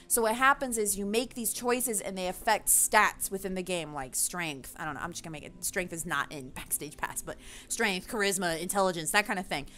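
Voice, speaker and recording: A woman's voice: mid-range voice, fairly bright tone. A woman giving a casual, opinionated rant to viewers, passionate and emphatic, with loose swearing, as a gaming video creator.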